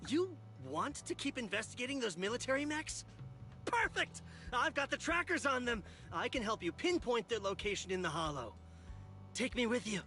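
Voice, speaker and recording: An actor playing a defensive, shifty thief in a video game, protesting in slangy, flustered speech. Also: male voice, extremely high pitch, lively intonation, moderate pace, sharp tone, soft background music, full character voice. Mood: eager. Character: defensive, shifty male thief who steals military mechs. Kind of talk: video game story voice acting.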